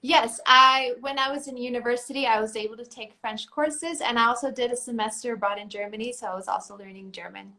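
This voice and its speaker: A woman's voice: on the high side, fairly even intonation, fast, hardly any pauses, sharp tone. A young woman from Mexico, a Spanish speaker, hosting a live video chat in English, friendly and enthusiastic. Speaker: pageant titleholder, live stream host.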